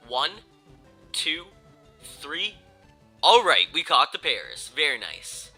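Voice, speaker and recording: A man's voice: a little high, huge pitch swings, takes it slowly, pushed and bright, husky. A young man with a stuffy, congested voice, casually commenting on his gameplay, rambling with many ums and self-corrections. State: stuffy nose from a cold. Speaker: gaming YouTuber. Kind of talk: casual Let's Play commentary. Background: game music.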